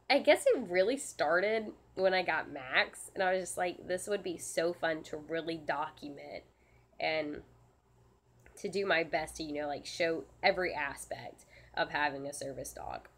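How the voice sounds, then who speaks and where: Woman host, lower-pitched, stuffy and scratchy-throated, halting, earnest live Q&A delivery. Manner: halting, frequent restarts and ums, soft and earnest. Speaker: service dog handler and channel host. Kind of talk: casual live Q&A answering viewer questions.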